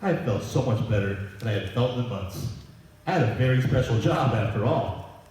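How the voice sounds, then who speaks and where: Echoey, expressive man reading a Christmas story. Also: mid-range voice, moderate pace. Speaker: storyteller playing Santa Claus. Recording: echoey room, distant microphone.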